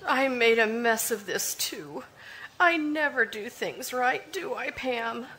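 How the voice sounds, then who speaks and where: An actress playing a fragile, despairing young sister in a live old-time radio drama, faltering and tearful. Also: female voice, fairly high pitch, expressive, takes it slowly, sharp tone, a little hiss or hum, exaggerated voice, poor audio. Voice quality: tearful, faltering, self-pitying.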